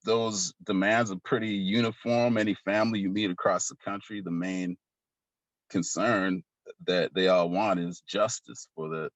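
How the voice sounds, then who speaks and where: A man, a community organizer, speaking casually on an online panel over a video call, halting with many ums. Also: deep voice, fairly even intonation, dry recording, lo-fi sound.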